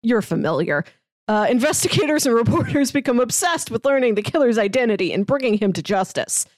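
A woman's voice: fairly high pitch, expressive, brisk, a little mellow, no echo. A woman co-hosting a podcast in casual chat, talking in a chatty way with hesitant asides. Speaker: podcast co-host. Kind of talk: casual podcast chat, pitching films and reading synopses.

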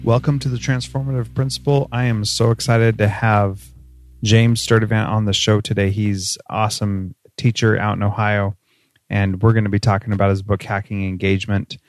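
A man's voice: on the low side, level tone, normal speed, no room sound, studio quality. A man hosting a podcast interview, upbeat and conversational, laughing easily with his guest. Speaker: podcast host.

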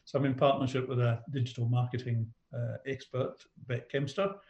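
A middle-aged Scottish man talks as a business coach in an interview. His pitch is average for a man. The sound is clearly roomy, with echo around the voice. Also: little pitch movement, normal speed, darkish tone, highs cut off. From Scotland. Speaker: business coach, podcast guest. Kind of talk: conversational interview answers.

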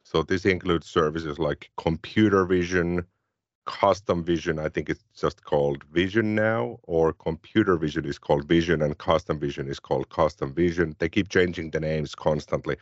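A Finnish man co-hosting a tech podcast, his voice very low and even. He explains things in a relaxed, conversational way. The sound is dry and a little dull. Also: normal speed, no echo. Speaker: podcast co-host. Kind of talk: casual tech chat.

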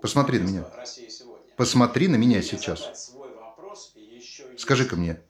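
An actor playing an agitated TV newsman and husband in a naturalistic stage drama, sarcastic, insistent and halting in his speech. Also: mid-range voice, expressive, close to an everyday voice. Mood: insistent, mocking. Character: agitated TV newsman, father and husband, scornful and defensive.

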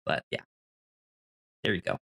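A man co-hosting a casual pop culture podcast, talking in a halting, rambling, informal way. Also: bright, forceful tone. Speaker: podcast co-host.

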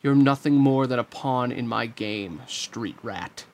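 A man voicing a smug, sneering, scheming sorcerer with a grating voice, gloating with drawn-out menace in a storybook reading. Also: medium pitch, a little hiss or hum, natural voice.

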